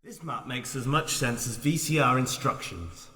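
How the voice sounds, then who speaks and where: An actor playing a sarcastic, pedantic, put-upon adventurer in a comic fantasy audio drama, dry and exasperated. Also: male voice, mid-range voice, normal speed, pushed and bright, natural voice. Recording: echoey hall-like reverb.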